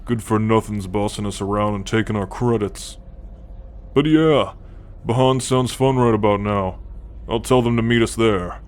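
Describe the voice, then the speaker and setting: A man's voice: fairly low pitch, normal speed, smooth, natural voice. A man voicing an easygoing alien tank soldier in an ASMR roleplay, wry and flirtatious, always ribbing his friend.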